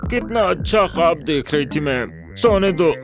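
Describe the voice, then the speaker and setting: A whimsical cartoon animal leader, playful and bossy, coaxing and exclaiming in a middle-pitched voice. Faint music sits behind the dubbed lines. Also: animated, a little slow. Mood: sleepy annoyance. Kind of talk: dubbed children's cartoon acting.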